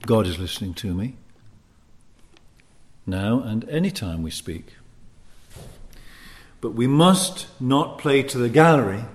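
A man delivers a teaching sermon at a slow, measured pace. His voice sits at a medium pitch, and he sets out short phrases with deliberate emphasis, leaving pauses between them. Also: lively intonation. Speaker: preacher teaching on ministry. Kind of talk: sermon teaching with scripture and anecdotes.

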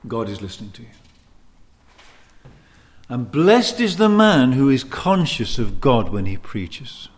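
A man preaching in a teaching sermon, speaking in deliberate, emphatic short phrases with pauses between them. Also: mid-range voice, sing-song. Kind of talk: sermon teaching with scripture and anecdotes.

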